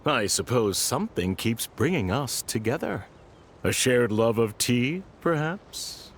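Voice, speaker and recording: A man's voice: medium pitch, expressive, unhurried, slight background noise. A man voicing a suave, smarmy male antique collector who speaks in flowery, ingratiating phrases in a video game.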